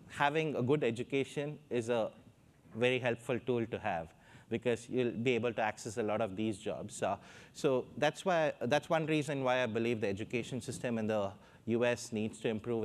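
A man with an Indian accent, speaking as a panelist on a stage through a lapel mic, hesitant with many fillers. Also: mid-range voice. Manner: hesitant, many fillers, gestures while talking. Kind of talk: panel discussion. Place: stage in front of a backdrop.

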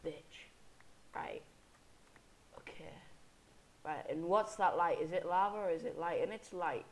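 Slow-talking young man with a cold. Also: a little high, creaky, slight background noise. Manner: rambling, self-correcting, mock-angry at enemies. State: sniffly head cold. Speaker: gameplay commentator. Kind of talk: casual game commentary.

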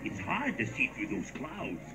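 A man voicing an excitable, earnest plumber hero in a video game cartoon, with exaggerated exclamatory delivery. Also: medium pitch, unhurried, very noisy. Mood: musing.

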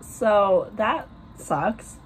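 A woman's voice: medium pitch, expressive, drawn-out words, slight background noise, poor audio. A young woman in her twenties vlogging from her bedroom, chatty and rambling with lots of fillers, her voice worn and losing strength. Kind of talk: casual vlog chat and book talk. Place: small bedroom at home.

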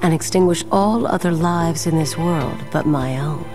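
A woman's voice: deep voice, moderate pace, over music, natural voice. A woman voicing a coldly menacing, imperious sorceress in a video game, speaking with grandiose deliberation. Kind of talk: video game villain acting.

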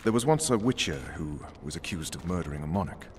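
A man's voice: deep voice, lively intonation, quick pace. A man playing a stern, commanding special forces interrogator in a video game, blunt and authoritative. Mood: measured, menacing. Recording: long hall reverb, faint ambient noise.

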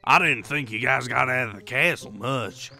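A man playing a folksy, excitable, good-natured farmer in a fantasy audio drama, full of homely exclamations. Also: medium pitch, moderate pace, gravelly, faint music behind. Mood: worried, chatty.

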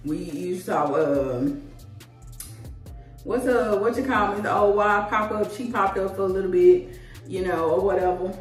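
A woman, chatting casually to camera in a rambling, animated, slangy way as a vlogger. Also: medium pitch, a little slow. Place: small tiled indoor room at home. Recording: echoey room, distant mic, music behind.

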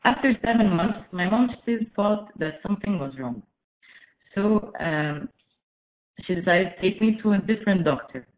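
A woman in her twenties from Egypt giving a prepared webinar talk, careful and measured, with frequent "uh" fillers. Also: medium pitch, expressive. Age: 20s. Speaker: webinar speaker. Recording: echoey, phone-like webinar audio.

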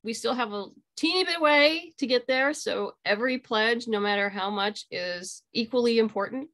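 A middle-aged woman giving casual, explanatory interview answers on a video call, haltingly thinking aloud. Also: fairly high pitch, fairly bright tone. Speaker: interview guest, audio drama creator. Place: small room at home.

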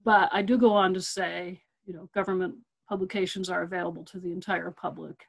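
A woman's voice: on the low side, creaky. A middle-aged woman librarian giving a webinar over a computer mic. She talks in a loose, conversational way, with many fillers and restarts, and she repeats her key points. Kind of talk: webinar presentation.

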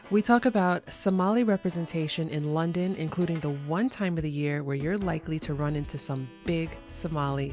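A woman podcast host with a lowish voice reads her intro and welcomes a guest in an upbeat way. Faint music sits under the talk. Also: a little mellow.

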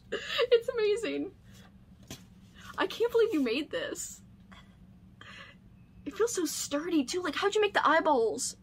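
A woman in her thirties chatting casually and gushing with excitement, as a video host in a home room. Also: very high voice, measured pace, pauses often, slight background noise. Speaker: book channel host. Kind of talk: casual chat, unboxing vlog. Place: small home room lined with books.

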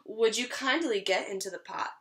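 A woman's voice: mid-range voice, normal speed, close to an everyday voice. A woman reading aloud as a brave, clever, polite young cowboy boy, eager and scheming. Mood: eager, bargaining.

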